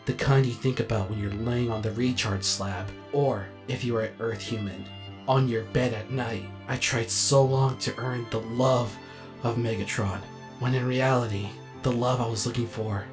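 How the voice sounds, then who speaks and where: A man playing a vain, melodramatic robot actor and director in a comedic animated comic dub, with an excitable, grandiose delivery. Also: medium pitch, normal speed, over music, lightly acted. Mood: solemn, hammy.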